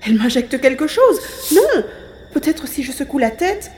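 A woman playing a determined, curious young hero in a science fiction audio drama, expressive and thinking aloud. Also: sits high, normal speed, faint music behind. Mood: dazed, alarmed.

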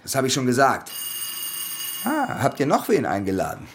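A menacing soldier, wildly varied pitch. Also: male voice, huge pitch swings, moderate pace, creaky, a little hiss or hum. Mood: pressing, impatient. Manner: commanding, taunting. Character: menacing young soldier, domineering elder brother. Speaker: actor in a radio drama. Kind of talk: German radio play acting.

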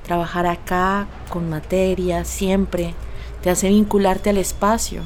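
Slow-talking woman, faint ambience behind. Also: lowish voice, flat delivery, slight background noise. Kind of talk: unhurried conversational testimony.

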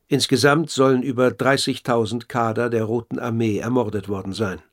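Guy playing author narrating a documentary radio play, medium pitch, sober and exact, kinda inquisitive. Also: lightly acted. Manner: measured, factual, precise.

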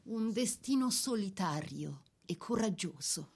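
Measured woman narrator, medium pitch. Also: expressive, slightly rough. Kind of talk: scripted literary biography narration for radio drama.

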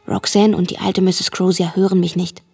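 An actress playing a dutiful, watchful young woman who narrates a radio drama in a husky voice. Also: lowish voice, little pitch movement, normal speed, warm tone. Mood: anxious, confiding. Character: dutiful, watchful girl confiding what she sees. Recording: music behind the voice.

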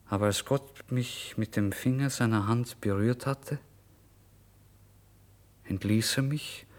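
A male actor playing a despairing, tormented starving writer in a literary radio play monologue, theatrical in delivery. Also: fairly low pitch. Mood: solemn, haunted. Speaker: actor narrating in first person.

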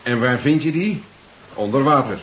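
Man acting cautious male scientist, deliberate, explanatory, self-assured, 1970s radio drama, muffled, light hiss. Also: medium pitch, lively intonation, a bit bright, lightly acted. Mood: thoughtful.

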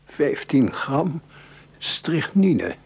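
An actor playing a scheming, greedy, darkly poetic doctor in a radio play, musing deliberately. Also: male voice, on the high side, big pitch swings, creaky, a little mellow, husky, exaggerated voice. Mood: sly, polite. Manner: musing, deliberate, talking to himself. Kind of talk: radio play acting, interior monologue and dialogue.

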